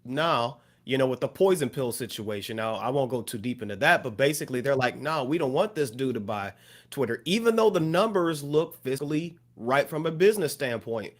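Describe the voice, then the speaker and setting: A man's voice: medium pitch, expressive, brisk. A man co-hosting a podcast, talking things through in a thoughtful, loose way with many fillers and restarts. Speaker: podcast co-host. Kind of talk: casual discussion.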